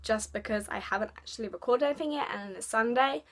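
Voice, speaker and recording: A young woman with a hoarse, failing voice, chatting casually and apologetically to her webcam at home. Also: on the high side, quick pace, fairly bright tone. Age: young adult. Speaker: vlogger. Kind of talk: casual vlog chat to camera. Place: bedroom at home, indoors.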